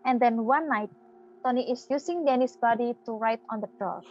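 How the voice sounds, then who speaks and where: Young woman narrator for scripted horror drama: high voice, dull recording with faint noise. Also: normal speed. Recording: muffled, noisy home-style audio.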